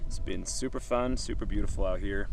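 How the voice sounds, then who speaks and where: A man's voice: on the low side, brisk, gravelly, slight background noise. A man from Norway, speaking casually and earnestly to the camera outdoors above a mountain valley, with many ums and uhs. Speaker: missionary vlogger.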